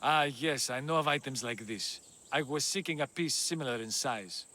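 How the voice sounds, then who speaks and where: An actor playing a courteous, anxious scholar who talks earnestly in a video game. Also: male voice, medium pitch, moderate pace, bright, forceful tone, close to an everyday voice. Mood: worried. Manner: polite, earnest, worried.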